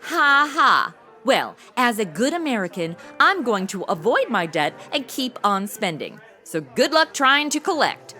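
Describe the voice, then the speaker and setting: A woman playing a sassy, slangy modern young woman in a comedy audio drama, flippant and excitable. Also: on the high side, a little slow, hardly any pauses, soft background music, full character voice. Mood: sassy, wry. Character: sassy modern young woman dropped into old radio mysteries.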